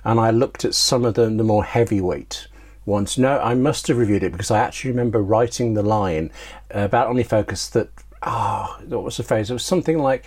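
Husky, low-voiced British man telling anecdotes. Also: fairly quick, a little mellow. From United Kingdom. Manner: talkative, self-interrupting storyteller. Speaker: interview guest, professional writer. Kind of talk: casual interview chat, anecdotal.

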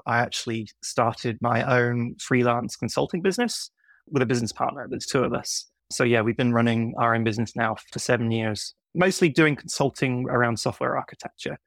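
A man's voice: mid-range voice, fairly even intonation, fairly quick, creaky, fairly bright tone, dry recording. A middle-aged man with a blended British and American accent, explaining things casually as an interview guest. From United Kingdom. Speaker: podcast guest, software consultant.

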